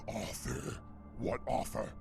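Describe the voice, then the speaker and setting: A man voicing a bitter, distrustful gargoyle, a freed slave in a video game, with a growling, gravelly voice and archaic, menacing speech. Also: slow, natural voice. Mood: suspicious, enraged. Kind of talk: dramatic video game voice acting. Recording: music playing behind. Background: music.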